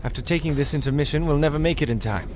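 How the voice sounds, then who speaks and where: Man playing a pompous high-pitched deadpan detective. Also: rapid-fire, slight background noise, natural voice. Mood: grave, dramatic. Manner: pompous, deadpan, literal-minded. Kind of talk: old-time radio comedy acting.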